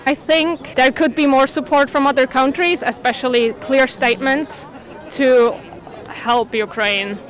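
A woman's voice: high-pitched, fairly even intonation, measured pace. A young woman answering questions informally in a street interview. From Switzerland. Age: young adult. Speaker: rally participant being interviewed. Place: outdoor city park at a rally. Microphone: handheld interviewer mic, speaking through mask. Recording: outdoor street recording, faint crowd.

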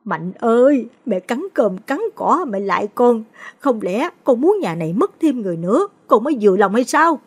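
A woman voicing an old grieving mother who wails her lament in a high, strained voice. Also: lively intonation, normal speed, breathy edge. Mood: pleading.

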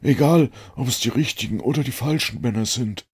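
A man's voice: mid-range voice, a little fast, darkish tone, slightly rough, pitched above the performer's own voice. A man voicing an eager, opinionated police detective with an officious manner in a storybook reading.

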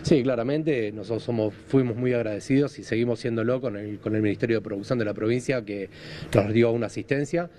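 A man in his forties with an Argentine accent, explaining things in a measured, formal way in a live news interview. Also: medium pitch, dark tone. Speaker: event hall business representative, interviewee. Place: on location at an event hall. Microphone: handheld microphone, through a face mask. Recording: faint background noise and ambience.

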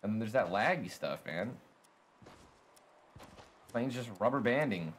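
A man's voice: lowish voice, lively intonation, a little slow, a little hiss or hum. A young man, a game streamer, chatting casually with viewers while he plays, thanking them and griping about lag, a little congested. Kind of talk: casual live-stream chat while playing. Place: home streaming room, indoors.

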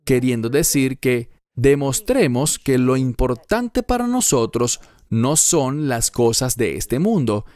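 A man dubbing an earnest Bible teacher in his fifties, delivering a verse-by-verse sermon with emphatic, deliberate phrasing. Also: medium pitch, sing-song, darkish tone.

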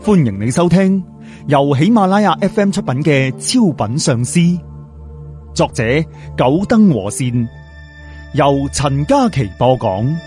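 A man with a Cantonese accent narrating a story as an audiobook storyteller, in an animated, conversational, explanatory way. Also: on the high side, unhurried, soft background music. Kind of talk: animated scripted narration with colloquial asides.

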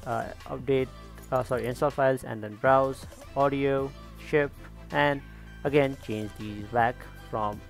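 A man's voice: mid-range voice, vocal fry. A man hosting a tutorial, talking casually through instructions step by step with frequent little check-ins. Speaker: tutorial host. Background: background music.

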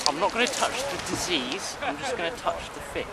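A man's voice: high-pitched, very animated, a little fast, a loud crowd. A young man acting a bossy, curious amateur filmmaker in a naturalistic found-footage film, speaking casually outdoors. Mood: casual, directing. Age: teens to young adult. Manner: hesitant, casual, directing. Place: outdoors on a pebble beach by the sea. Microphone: camera microphone at some distance. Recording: windy, very noisy outdoor camera mic.

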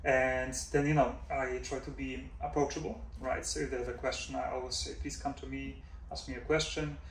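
A man's voice: medium pitch, level tone, a little fast. A man in his forties who grew up in Denmark, a professor answering interview questions thoughtfully, with many fillers and "right?" checks. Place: office room, indoors. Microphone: far camera mic. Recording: roomy echo from far off, faint hum.

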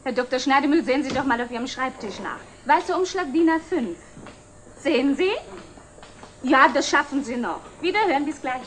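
An actress playing a brisk, composed secretary to a company director in an old television drama. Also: female voice, high-pitched, lively intonation, slight background noise. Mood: brisk, efficient. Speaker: actor in a television series. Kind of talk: 1960s German television drama acting.

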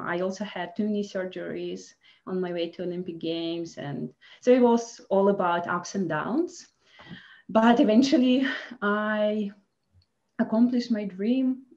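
A woman in her thirties from Russia with a Russian accent, telling her story as an interview guest in halting, casual speech with restarts. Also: mid-range voice, a little mellow, dull audio. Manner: halting, frequent restarts and laughs. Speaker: guest, former Olympic skier. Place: home office, indoors.

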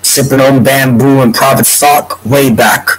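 A man in his forties speaks slowly into a desk webcam in a book-lined room at home, his nose clearly stuffed up. He meanders from point to point, drawing out his words, with a faint hiss in the background. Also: mid-range voice, steady tone, rough recording. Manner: meandering, drawn-out, breaks into humming a tune. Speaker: vlogger. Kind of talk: rambling monologue to webcam. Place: home room with bookshelves, indoors.

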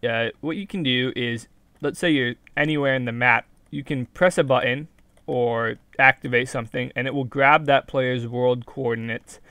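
Young male tutorial vlogger, slightly hoarse, chatty and self-correcting, smooth tone, dry close mic. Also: mid-range voice, fairly even intonation, normal speed, pushed and bright, no room sound. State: hoarse from being sick. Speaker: Minecraft video creator. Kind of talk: casual screen-recorded tutorial.

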